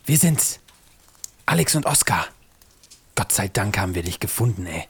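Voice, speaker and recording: A man playing a loyal, teasing friend in a comedic adventure radio play, with a husky voice. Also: lowish voice, big pitch swings, moderate pace, full character voice.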